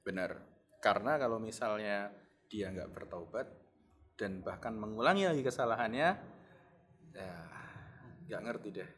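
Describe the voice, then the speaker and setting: A man's voice: fairly low pitch, lively intonation, unhurried, long pauses, fairly bright tone. Javanese-accented man, joking podcast co-host. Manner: joking, laughs readily. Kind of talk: casual religious podcast banter.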